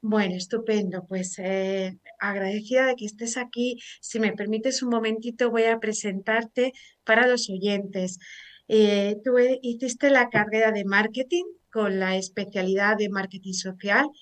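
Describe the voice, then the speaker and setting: Una mujer con acento de España presentando en directo un programa de radio, charlando con soltura y muchas muletillas. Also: female voice, mid-range voice, steady tone, a little slow. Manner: chatty and warm, frequent fillers like "eh" and "pues bueno". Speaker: radio show host. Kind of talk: live radio talk show hosting.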